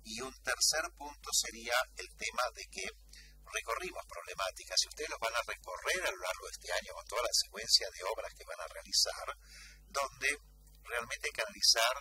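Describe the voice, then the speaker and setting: A middle-aged man with a Rioplatense Argentine accent hosts a radio conversation, summing up in a reflective, measured way. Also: medium pitch, wildly swooping pitch, sharp tone, a little hiss or hum, low-quality recording. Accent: Rioplatense Argentine Spanish. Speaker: radio host. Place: radio studio. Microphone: studio microphone.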